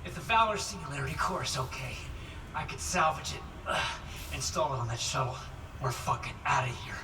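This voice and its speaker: A man's voice: mid-range voice, lively intonation, a little fast, distant mic, noisy background, close to an everyday voice. An actor playing a weary, defiant male engineer with a terse, sardonic manner in a video game. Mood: thinking, incredulous. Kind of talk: video game cutscene acting.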